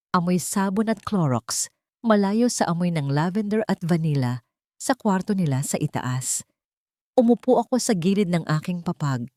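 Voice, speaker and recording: A woman's voice: on the low side, darkish tone, no room sound, studio quality. A woman narrating a scripted first-person dramatic story as an audiobook reader.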